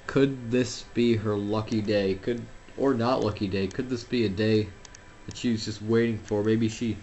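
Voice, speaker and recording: Rambling young man, low voice. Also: fairly even intonation, unhurried, a little mellow, highs cut off, slight background noise. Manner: rambling, self-deprecating, frequent fillers and restarts. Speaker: gameplay commentator. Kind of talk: casual solo game commentary.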